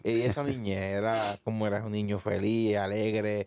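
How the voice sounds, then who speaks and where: Lowish-voiced man hosting a faith podcast. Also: steady tone, normal speed, darkish tone, smooth. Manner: encouraging, finishes the guest's sentences. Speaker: podcast host and interviewer.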